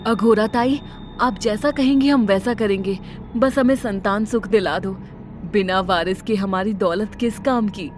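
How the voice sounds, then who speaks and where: A woman's voice: mid-range voice, a little slow, slight background noise, lightly acted. Woman playing an eager, scheming rich wife. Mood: pleading. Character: rich childless wife, eager, devoted, turning ruthless. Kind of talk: Hindi cartoon horror story acting.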